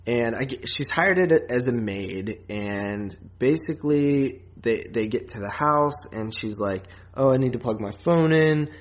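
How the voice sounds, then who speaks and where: A man co-hosting a podcast, talking casually about films in a rambling, halting way. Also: medium pitch, lively intonation. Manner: rambling, halting, filler-heavy. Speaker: podcast co-host. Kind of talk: casual movie discussion.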